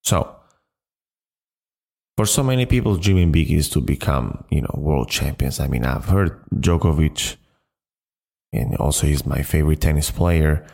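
A man hosting a solo motivational podcast, speaking in a halting, repetitive and emphatic way. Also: very deep voice, expressive, gravelly, a little mellow. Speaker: podcast host.

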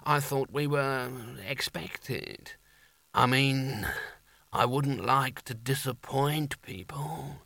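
Man putting on a sickly gloomy horseman, heavy gravel in the voice, slow, doubtful. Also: medium pitch, bright, forceful tone, dry recording, full character voice. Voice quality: gravelly, dripping voice.

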